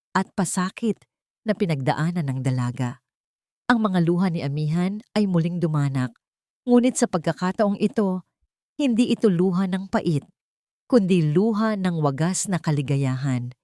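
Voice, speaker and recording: Low-voiced woman narrating, dry close sound. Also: darkish tone, no echo. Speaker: audiobook narrator. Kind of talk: scripted dramatic story narration.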